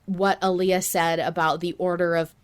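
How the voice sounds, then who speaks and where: A woman's voice: on the low side, level tone, moderate pace, smooth. A woman hosting a podcast in casual discussion, thinking aloud and hedging her opinions as she goes. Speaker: podcast host.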